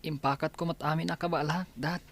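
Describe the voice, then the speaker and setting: A man's voice: a little high, fairly even intonation, fast. A young man playing a discouraged student son in a radio drama, pleading and hesitant. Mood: defensive. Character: discouraged teenage son who dreads college.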